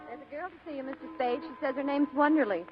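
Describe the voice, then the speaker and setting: A woman plays a chirpy secretary in an old radio play. Her voice is high and knowing. The recording is dull, with music behind it. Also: fast, natural voice. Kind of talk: 1940s radio drama acting.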